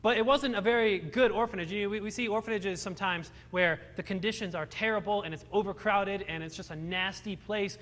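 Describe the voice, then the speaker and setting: Young man, from Romania, high voice, joking storyteller, live hall reverb. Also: brisk, hardly any pauses, a bit bright. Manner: humorous, self-deprecating, frequent restarts. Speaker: motivational speaker. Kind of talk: storytelling talk to a live audience. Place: large hall with audience.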